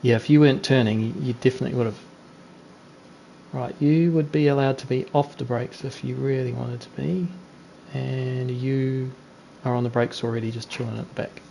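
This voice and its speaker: A man's voice: mid-range voice, fairly even intonation, moderate pace, vocal fry, dark tone, a little hiss or hum, poor audio. A man, chatting casually and teasing other drivers as he streams an online race on a gaming headset. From New Zealand. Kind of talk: casual live-stream commentary and chat.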